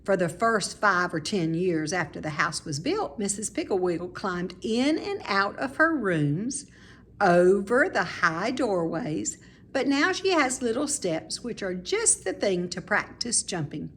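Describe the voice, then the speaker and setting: Slow, expressive woman reading a children's story. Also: mid-range voice, a little hiss or hum. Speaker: storybook reader, teacher. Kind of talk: read-aloud children's story narration.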